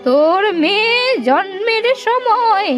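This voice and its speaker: A spiteful old witch from a fairy-tale cartoon. The voice is extremely high and drags out each menacing phrase, scolding as it goes, with music playing underneath. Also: expressive, slow pace, full character voice. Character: spiteful old witch woman guarding a magic tree. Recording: music behind the voice.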